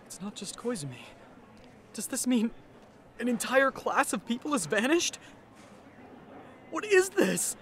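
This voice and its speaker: A performer in an anime fan dub playing a sardonic teenage high-school boy, dramatic and disbelieving. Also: big pitch swings, unhurried, long pauses, fairly bright tone, slight background noise. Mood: panicked.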